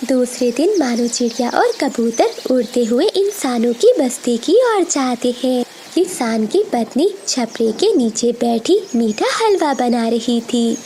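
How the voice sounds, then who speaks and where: High-pitched, animated woman narrating children's stories. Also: measured pace, a little hiss or hum. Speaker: story narrator. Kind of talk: scripted children's story narration.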